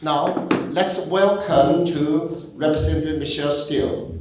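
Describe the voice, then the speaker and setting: A man reading a formal speaker introduction as emcee, halting and repeating words. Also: a little high, fairly even intonation. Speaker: event emcee. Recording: echoey hall, distant, faint noise.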